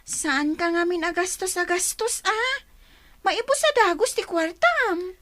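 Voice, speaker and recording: A woman's voice: very high-pitched, animated, a little slow, bright, forceful tone, exaggerated voice. A woman playing a well-behaved, thrifty Ilocano schoolgirl in a comedy radio drama, speaking in an earnest childlike way. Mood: firm, scolding.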